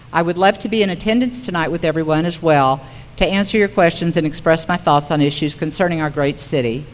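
A woman's voice: sits low, a little hiss or hum. A woman moderating a public forum, reading prepared material aloud to the room. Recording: reverberant hall recording.

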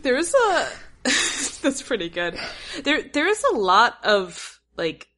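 Chatty sarcastic woman with a hoarse voice. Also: on the high side, big pitch swings, slow pace, sharp tone, a little hiss or hum. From Philadelphia area. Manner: chatty, sarcastic, laughs often. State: voice lowered and hoarse from marching. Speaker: podcast co-host. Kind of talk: casual chat.

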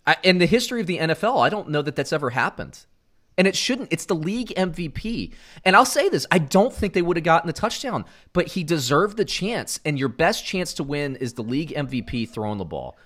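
A middle-aged man from Central Florida co-hosting a casual sports podcast, animated, going from loud outbursts to muttered asides. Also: on the high side, a little fast. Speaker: podcast co-host.

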